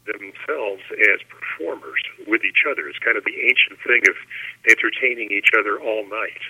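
A man in an informal roundtable discussion, speaking reflectively and articulately in a measured way, pausing mid-thought, over a telephone. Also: on the low side, slightly rough. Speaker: roundtable panelist. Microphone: telephone call. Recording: thin tinny phone line.

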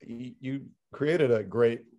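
A man interviewing an author in a casual chat, asking his questions haltingly with many pauses. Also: medium pitch, mellow.

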